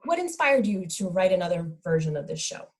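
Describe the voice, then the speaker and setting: Low-voiced woman moderating a talkback. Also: sing-song, a little fast, a little mellow. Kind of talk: hosted panel discussion over video call.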